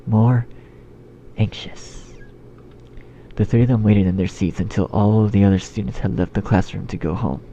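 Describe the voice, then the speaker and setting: A man's voice: fairly low pitch, fairly even intonation, normal speed, long pauses, warm tone, a little hiss or hum. A man reading scripted story narration as the narrator. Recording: dull, muffled sound under music. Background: music.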